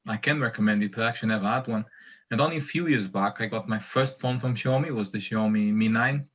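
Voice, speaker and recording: A man's voice: fairly low pitch, quick pace, a bit bright, highs cut off. Enthusiastic man with a Portuguese accent. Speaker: community member introducing himself. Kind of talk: casual video call chat.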